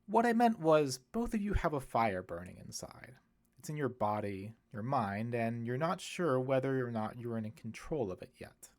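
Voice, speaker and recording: A man voicing a kindly, musing priest in an audiobook, speaking in a reflective, explanatory way with gentle wit. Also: mid-range voice, sing-song, normal speed. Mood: fond, earnest. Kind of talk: audiobook character dialogue.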